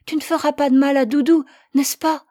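A woman's voice: high voice, steady tone, fairly quick, mellow, pitched above the performer's own voice, lightly acted. A woman voicing a tender-hearted, stubborn little boy who asks earnest, pleading questions.

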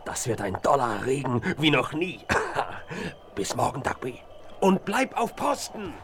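A rough, sneering outlaw in a western radio play. He is curt and impatient, with a forceful edge and lively swings of pitch, over faint vinyl noise. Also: measured pace. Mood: greedy. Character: rough, impatient, mocking male outlaw tramp. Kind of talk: 1970s German audio drama acting.

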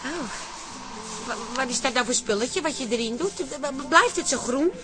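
A high-voiced wife, homely and naive, in a radio comedy. She swoops up and down with little exclamations, easily impressed and quick to fret. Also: animated, over music. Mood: impressed, curious. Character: Toos, chatty, fretful, easily impressed working-class wife. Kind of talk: Dutch radio comedy drama acting.

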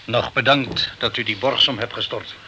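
An actor playing a gruff, guarded male sea captain in a Dutch radio crime drama, speaking tersely. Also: medium pitch, moderate pace, bright, forceful tone, slight background noise, poor audio. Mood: casual.

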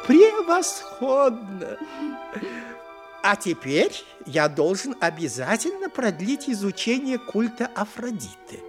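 A swooping, pompous, lecherous high priest. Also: male voice, huge pitch swings, slow, soft background music, heavily acted. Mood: lecherous, coy. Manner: unctuous, pompous, self-satisfied. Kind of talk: theatrical audio drama acting.

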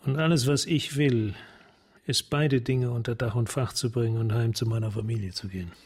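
A man playing a weary, guilt-ridden police sergeant in a radio drama, blunt, with a gravelly, husky voice. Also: medium pitch, fairly even intonation, moderate pace, darkish tone, lightly acted. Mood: weary, resigned.

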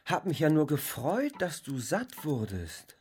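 A man's voice: a little high, wildly swooping pitch, a little slow, darkish tone, husky, exaggerated voice. An actor playing a bitter, self-loathing bridegroom in his thirties, anguished and brooding, in a German radio drama. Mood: pleading. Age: 30s.

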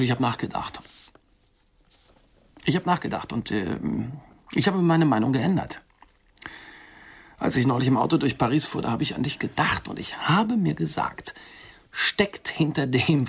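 A man's voice: mid-range voice, big pitch swings, measured pace, creaky, pauses often, slightly rough, exaggerated voice. An actor playing a touchy, nervous, sardonic middle-aged man in a German radio drama, wry and hesitant, often repeating himself. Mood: earnest, conciliatory.

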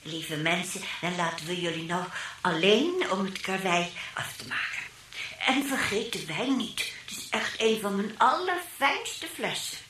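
An elderly schemer in a radio play. She puts on kindly helplessness over a vengeful streak. The recording has clear background noise. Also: medium pitch, animated, a little slow, bright, forceful tone. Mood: sugary, feigned kindness. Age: older. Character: sly, vengeful old woman feigning sweet helplessness. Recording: noisy radio drama recording.

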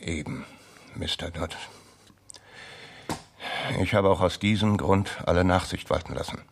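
Gruff, imperious old colonel, low-voiced. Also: male voice, unhurried, a little hiss or hum. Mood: stern, formal. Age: older. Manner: curt, imperious, formal. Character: gruff, curt old colonel guarding family secrets. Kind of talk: 1960s German radio drama acting.

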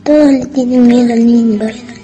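A woman's voice: on the high side, fairly even intonation, fairly quick, dark tone, faint music behind, close to an everyday voice, rough recording. A woman playing a timid, frightened little schoolboy with a plaintive, childlike way of talking in a radio drama. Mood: anxious, sad. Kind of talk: radio drama inner monologue.

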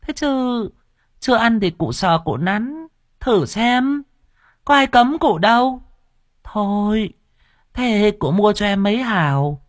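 Một người đàn ông giả giọng cô bán bưởi lả lơi giọng Bắc. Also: male voice, sits high, lively intonation, slow, no echo, clean studio sound, pitched above the performer's own voice. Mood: flirtatious. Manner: teasing, suggestive, sing-song.

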